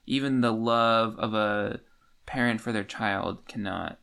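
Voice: medium pitch, unhurried, creaky, a bit bright. A podcast co-host chatting casually about a book in a dry, matter-of-fact way. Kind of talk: casual book discussion chat.

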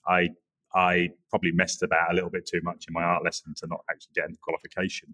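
An Englishman in his forties from the Norwich area, chatting as an interview guest in a relaxed, rambling way with many restarts. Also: deep voice, poor audio. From England, Norwich area. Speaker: guest, creative director. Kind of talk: casual interview chat.

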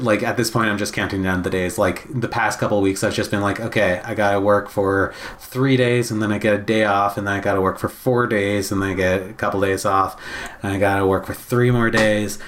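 A man's voice: fairly low pitch, a little hiss or hum. A man with a congested chest, chatting casually in a weary, wry, griping way. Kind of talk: casual chat.

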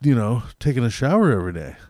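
A man's voice: mid-range voice, big pitch swings, fast, a little mellow, no room sound, studio quality. A man chatting casually as a podcast co-host, with slangy, profane, rambling talk that piles up hypothetical examples. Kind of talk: casual chat, profane banter.

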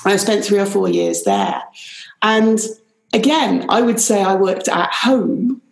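A woman's voice: mid-range voice, slow pace, echoey room, poor audio. A middle-aged woman with a British accent, a business strategist guest chatting animatedly in an interview over a video call. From Ireland, raised in Pakistan and Nigeria. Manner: chatty, animated, frequent "rather lovely". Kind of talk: conversational interview.

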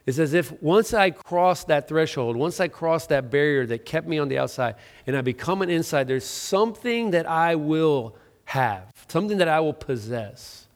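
A man's voice: mid-range voice, creaky. A middle-aged man preaching a sermon as pastor, conversational and self-deprecating, with frequent restarts and fillers. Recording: long reverb of a large hall.

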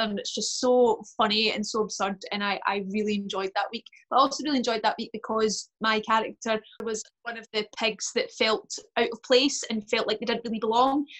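A woman's voice: fairly high pitch, low-quality recording. A woman who performs for children, chatting casually and effusively on a podcast.

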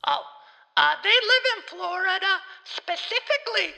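A bright, very high-voiced young boy. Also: lively intonation, measured pace, gravelly, in a large hall, full character voice. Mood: bewildered. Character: excitable young boy phoning in, anxious and bewildered. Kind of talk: scripted comedy podcast acting.

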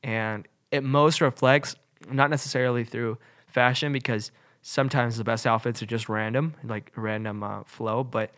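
A man's voice: mid-range voice, fairly even intonation, moderate pace, few pauses, a bit bright. A young man co-hosting a podcast, talking in a loose, rambling way with lots of fillers, a little nasal from a cold. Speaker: podcast co-host. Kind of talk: casual podcast chat.

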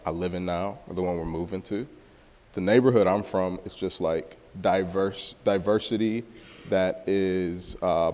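A man, a church planter, telling stories casually on a panel, animated and full of "like". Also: deep voice. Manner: animated storytelling, filler "like". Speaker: church planter and football coach. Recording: reverberant hall.

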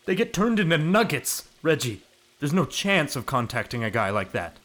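A man playing an excitable, slightly whiny thirty-something sidekick animal cop in a comic audio drama. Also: on the high side, moderate pace. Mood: worried.

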